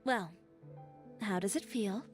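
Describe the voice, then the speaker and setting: A woman playing a headstrong young tailor, calm and measured. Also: medium pitch, close to an everyday voice. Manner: calm, measured, reflective storytelling. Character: proud young female tailor, headstrong but composed. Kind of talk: video game voice acting. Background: game music.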